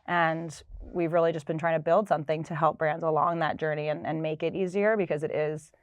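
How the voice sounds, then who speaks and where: A woman in her thirties with a low voice tells her work history in a smooth, storytelling way. She sounds relaxed and sure of herself, recorded close in a dry room. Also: brisk, no echo, highs cut off. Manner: fluent, storytelling, light laughs. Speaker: director of sustainability. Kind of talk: casual interview. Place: office.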